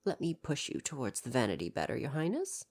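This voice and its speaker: A woman's voice: extremely low pitch, huge pitch swings, brisk, a little mellow, exaggerated voice. A woman playing a devoted royal butler in an ASMR roleplay, speaking in a formal, deferential and precise way with parental care. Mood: attentive, fond.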